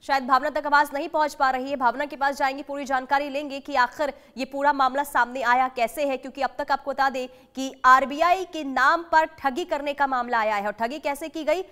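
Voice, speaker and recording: High-pitched woman news anchor, urgent. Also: fairly even intonation, fairly quick, few pauses, sharp tone. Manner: urgent, cautioning, repetitive. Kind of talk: live breaking-news reporting, ad-libbed.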